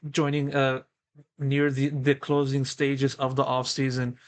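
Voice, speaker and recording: A man's voice: mid-range voice, completely flat delivery, unhurried. A man interviewing a pro player, asking long, wordy questions full of fillers.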